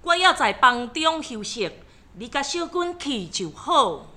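Measured, gentle young matron. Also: female voice, high voice, sing-song, exaggerated voice. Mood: composed, polite. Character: gentle, reserved married sister-in-law. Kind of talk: Taiwanese opera radio drama acting.

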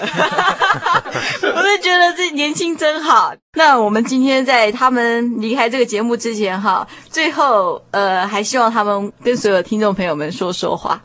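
A woman's voice: fairly high pitch, lively intonation, moderate pace, few pauses, fairly bright tone. A woman with a Taiwanese Mandarin accent, hosting a conversational discussion programme. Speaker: radio show host.

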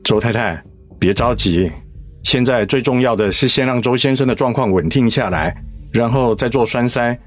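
A man playing a calm, tactful doctor in a radio drama, measured, professional and reassuring. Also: medium pitch, faint music behind, close to an everyday voice.

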